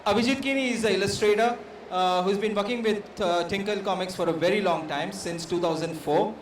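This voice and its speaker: Higher-pitched Indian man in an echoey, noisy venue. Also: a little fast. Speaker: art director and quiz co-host. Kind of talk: informal live event address to an audience. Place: comic convention event. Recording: echoey, noisy event venue, distant pickup.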